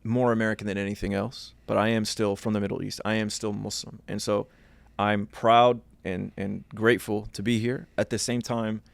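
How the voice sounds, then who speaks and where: A man from Iraq, hosting a podcast, builds up to his questions in a measured, earnest way. His voice is low and gravelly, with little rise and fall. The sound is dry and close to the mic. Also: level tone, no echo. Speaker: podcast host. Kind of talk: interview questions.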